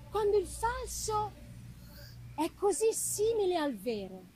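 High-pitched young woman played by a woman reader, tender, anguished, impassioned pleading, gothic novel reading, noisy live recording. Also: a little mellow, exaggerated voice. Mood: distressed. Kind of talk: dramatic literary reading.

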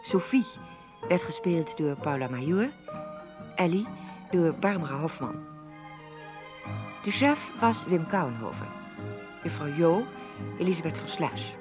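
A woman reading the scripted closing credits of a radio play as its announcer. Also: sits low. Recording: loud music under the voice. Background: music.

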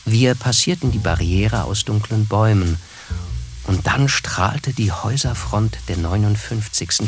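A man playing a reflective writer who narrates a literary radio play in a measured way. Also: fairly low pitch. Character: wry, reflective male narrator, a struggling writer.

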